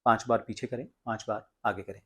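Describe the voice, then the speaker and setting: A man's voice: on the low side, steady tone, a little fast, a bit bright, slightly rough. A man from India presenting an instructional tutorial as a voice coach, explaining exercises step by step.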